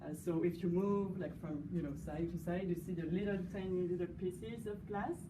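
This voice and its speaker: A woman with a French accent speaks in a lowish voice, pausing often with fillers as she talks through her work. She is recorded from a distance in an echoey space, with clear noise behind her. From France. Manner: hesitant, many fillers, warm laughter. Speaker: guest artist. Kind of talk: casual interview. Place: cafe gallery. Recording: echoey room, distant mic, background noise.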